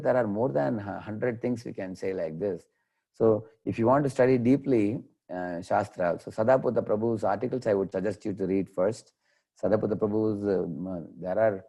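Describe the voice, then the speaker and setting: A man with an Indian accent, a devotional lecturer answering questions in an online class, explaining patiently with frequent pauses over a video call. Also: fairly low pitch, mellow. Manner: unhurried, explanatory, many "uh" pauses.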